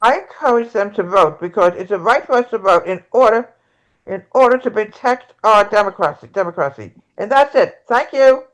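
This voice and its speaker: A man doing an earnest high-voiced woman candidate. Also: animated, fairly quick, fairly bright tone, rough recording. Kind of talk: amateur table read of a play.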